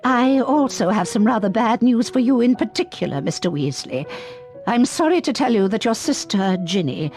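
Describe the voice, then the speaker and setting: An actress playing a stern but sympathetic older witch schoolteacher in a video game, formal and measured. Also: on the high side, animated, a little mellow, faint music behind. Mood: grave, sorry. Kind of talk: video game character acting.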